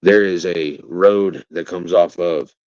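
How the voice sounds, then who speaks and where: Deep-voiced man, halting then defiant. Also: dry recording, lo-fi sound. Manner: halting and rambling, then rising to defiant, forceful outbursts. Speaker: father of a missing child, interviewee. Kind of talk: interview answers.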